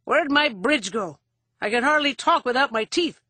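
A woman's voice: high-pitched, lively intonation, normal speed, pushed and bright, dry recording, pitched above the performer's own voice, heavily acted. A woman voicing a belligerent, drunken older man in an audiobook, cranky, argumentative and bitter. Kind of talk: audiobook character acting.

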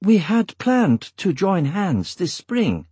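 A man's voice: sits high, sing-song, takes it slowly, mellow, breathy edge, dry recording, voice pushed up, full character voice. A man playing a polite, curious young hero in an audiobook, with a courteous, inquiring manner. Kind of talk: audiobook character voice.

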